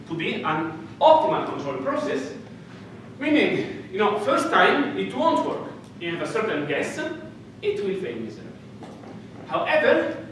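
Animated Italian-accented man lecturing in echoey hall. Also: sits high, distant mic. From Italy. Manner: animated, gesturing, playful storytelling with frequent "okay?" checks. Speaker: physics lecturer. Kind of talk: lively university lecture. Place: dark lecture hall with projector screen. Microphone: distant room mic, speaker walking around. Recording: echoey lecture hall recorded from afar with room noise.

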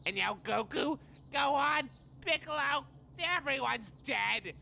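A man voicing a grumpy, exasperated otherworldly deity, raspy and sarcastic, in a cartoon parody. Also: extremely high pitch, expressive, takes it slowly, sharp tone, a little hiss or hum, heavily acted. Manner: irritable, sarcastic. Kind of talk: cartoon parody dub acting.

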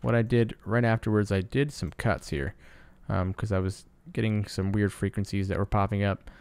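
A man with a lowish, gravelly voice narrates a casual tutorial. He explains things in a low-key way, recorded dry and close with little room sound. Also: fairly even intonation, moderate pace, a little mellow, no room sound. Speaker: sound designer, tutorial presenter.

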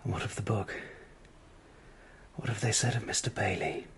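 An actor playing a gravely ill, grieving failed novelist, speaking weakly and in a hushed, halting way in a radio drama. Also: male voice, fairly low pitch, steady tone, a little hiss or hum, natural voice. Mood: feverish, hopeful. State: weak and ill.

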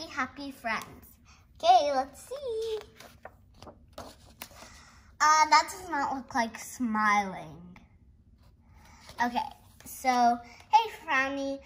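A young girl reading a picture book aloud haltingly, with giggly, exclaiming casual asides. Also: high voice, a bit bright. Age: child. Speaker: host of a read-along video.

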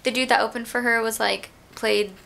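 A woman's voice: on the high side, steady tone, brisk, vocal fry, fairly bright tone, slight background noise. A young woman vlogging in casual chat from a small bedroom, talking into a handheld camera at arm's length. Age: young adult.

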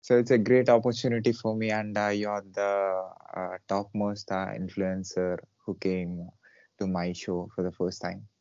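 Man hosting a podcast, halting and filler-heavy, low mellow voice, dry muffled sound. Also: flat delivery, no room sound. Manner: hesitant, many fillers. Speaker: podcast host. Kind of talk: casual interview.

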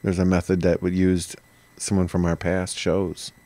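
A man co-hosting a podcast in casual chat, laid-back with deadpan jokes. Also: low-pitched, moderate pace, no echo. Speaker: podcast co-host.

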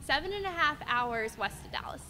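A young woman from Indiana in her twenties, chatting on a speed date in a bar, nervous and chatty, quick to laugh. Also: sits high, lively intonation, sharp tone, a little hiss or hum. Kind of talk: casual chat. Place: small room in a bar. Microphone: studio mic on a stand.

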